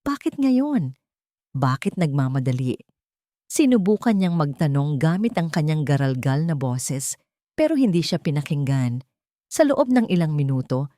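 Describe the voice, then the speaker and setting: A woman narrating a scripted dramatic story in a measured, deliberate storytelling manner. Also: deep voice, warm tone, no room sound, clean studio sound.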